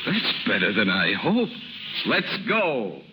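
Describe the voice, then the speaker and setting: Casting: a teasing, wisecracking rancher for 1930s radio drama, swinging intonation, very noisy vintage recording. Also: male voice, lowish voice, wildly swooping pitch, slow, exaggerated voice. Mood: playful teasing. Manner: wisecracking, breezy. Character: breezy, teasing male rancher courting a woman.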